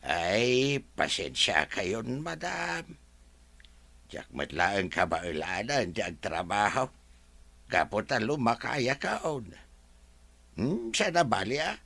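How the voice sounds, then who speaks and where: An actor playing an older male household servant in a radio drama, humble and halting, speaking deferentially to his employer. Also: fairly low pitch, big pitch swings, vocal fry, pushed and bright, no echo, heavily acted. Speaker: servant character.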